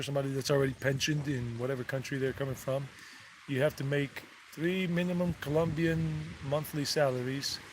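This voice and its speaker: A man's voice: medium pitch, background noise. A man vlogging from outdoors on a mountain property, chatting loosely, drifting off topic and correcting himself as he goes. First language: English. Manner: loose and digressive, repeats figures, self-corrects. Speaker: travel vlogger. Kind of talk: rambling casual livestream chat.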